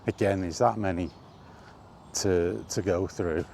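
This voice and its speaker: A man hosting a casual, rambling chat, thinking aloud with hesitant pauses. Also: deep voice, fairly even intonation, gravelly, a little mellow. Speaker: video host reminiscing about games. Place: on the move, walkie talkie vlog. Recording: faint ambient background noise.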